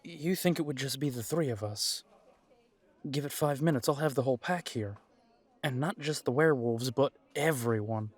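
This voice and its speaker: A man's voice: medium pitch, normal speed, lightly acted. A man playing a cocky, wry werewolf alpha and former soldier in an audio drama, sardonic and casual in his challenge. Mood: confident threat.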